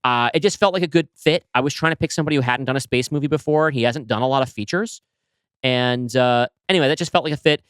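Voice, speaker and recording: A man's voice: medium pitch, a bit bright, clean tone, no room sound. A married man co-hosting a casual podcast, thoughtfully explaining his creative choices with frequent restarts. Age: adult, married. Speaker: podcast co-host, writer of the story.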